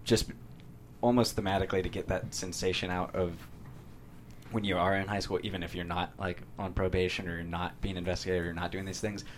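Low, even-voiced American man. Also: fairly quick, slight background noise. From San Diego, USA. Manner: thoughtful, hedging explanations. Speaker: screenwriter being interviewed. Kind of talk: casual interview conversation.

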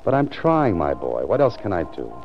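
An actor playing a world-weary, wry writer turned amateur sleuth in a 1940s radio drama, often talking to himself as narrator. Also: male voice, sits low, huge pitch swings, normal speed, slight background noise. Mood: gentle, reluctant. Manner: wry, self-addressing narration. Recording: muffled 1940s radio recording with music.

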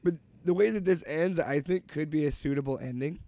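A man co-hosting a casual podcast chat, hoarse from a cold, rambling and restarting his sentences. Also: fairly high pitch, brisk, dark tone, dry recording. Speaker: podcast co-host.

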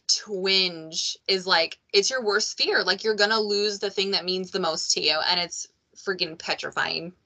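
Podcast host lady, bright tense voice, chatty and candid. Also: fairly low pitch. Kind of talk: casual conversation.

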